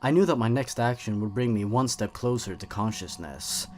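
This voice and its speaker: A man's voice: lowish voice, animated, moderate pace, darkish tone, a little hiss or hum. A man narrating scripted horror stories in a suspenseful, theatrical way.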